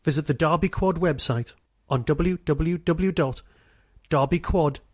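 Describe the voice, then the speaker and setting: A man's voice: on the high side, measured pace, a little mellow, slightly rough. A man speaking as a podcast host. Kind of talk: casual interview chat and scripted announcements.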